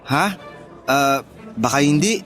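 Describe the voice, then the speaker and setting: A man's voice: mid-range voice, expressive, takes it slowly, fairly bright tone, soft background music. An actor playing a shy, modest man of twenty-one in a radio drama, casual and bashful. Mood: bashful, surprised. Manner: bashful, self-deprecating, casual. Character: shy, modest introverted young male office worker, twenty-one. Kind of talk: Tagalog radio drama dialogue.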